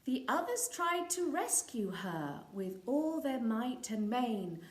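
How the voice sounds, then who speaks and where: A woman reads a picture book aloud with a high, very lively voice. She takes her time, dramatic and expressive for small listeners. The room echoes a little. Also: takes it slowly. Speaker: storyteller reading a children's picture book. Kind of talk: animated read-aloud to a live audience. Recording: roomy, echoing sound with background noise.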